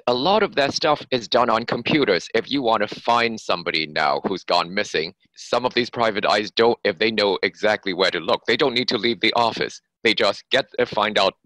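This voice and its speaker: A man's voice: on the low side, normal speed, pushed and bright, no room sound, low-quality recording. A man speaking as an author and interview guest, explaining things in a conversational way.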